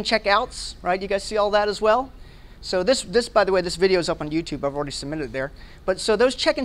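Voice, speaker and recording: A man's voice: sits high, a bit bright. A man in his forties with an American accent gives an informal tech demo, explaining conversationally and often checking in with his listeners. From Hawaii and Alabama, United States. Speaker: conference speaker, software product manager. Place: indoor meeting room, presenting to a group.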